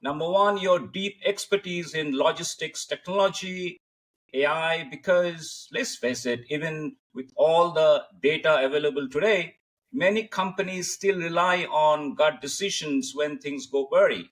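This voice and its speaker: A man's voice: on the high side, measured pace, low-quality recording. A man hosting an interview podcast, speaking with emphatic, formal phrasing. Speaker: podcast host.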